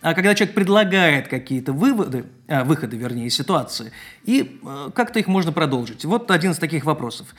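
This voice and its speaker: A man hosting a live interview, reading out listener questions with frequent pauses and fillers. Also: a little high, sing-song, a bit bright. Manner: hesitant, many fillers. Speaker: interview host.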